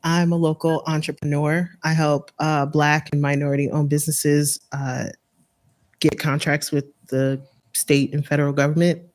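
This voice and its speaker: A woman's voice: deep voice, flat delivery, moderate pace, darkish tone. A woman from Europe, an entrepreneur, casually introducing herself in conversation. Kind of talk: casual self-introduction in a group.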